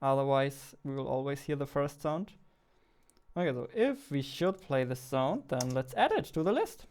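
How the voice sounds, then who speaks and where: A man's voice: mid-range voice, expressive, moderate pace, darkish tone. A man in his twenties thinking aloud casually as he works, a game developer recording a vlog on a headset. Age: 20s. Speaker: indie game developer, vlogger. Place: small indoor room at a computer.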